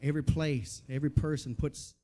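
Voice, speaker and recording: A male pastor with a Southern American accent and a fairly high voice preaches to his congregation. He speaks in a folksy, colloquial way, urging people on with rhetorical questions and calls for response. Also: steady tone, fairly quick, mellow. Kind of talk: charismatic church sermon. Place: church, preaching to a gathered congregation.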